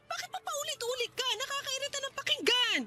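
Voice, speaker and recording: A woman's voice: extremely high pitch, a little fast, over music. An actress playing a curt, defensive young woman firmly rejecting a suitor in a radio drama, with an irritated manner. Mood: irritated, scolding. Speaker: voice actor in a radio-style love story. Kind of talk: Filipino radio drama acting.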